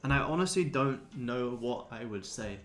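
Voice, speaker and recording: A man's voice: mid-range voice, animated, normal speed. A man in his twenties chatting casually as a livestream host answering viewers, laid-back, blokey and sweary. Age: 20s. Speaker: livestream host, day trader.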